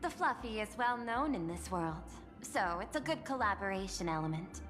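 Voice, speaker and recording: An actress voicing a poised, persuasive corporate executive in a video game, speaking in a polished, formal and measured way. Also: female voice, mid-range voice, lively intonation, long reverb tail, over music, close to an everyday voice. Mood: courteous, persuasive.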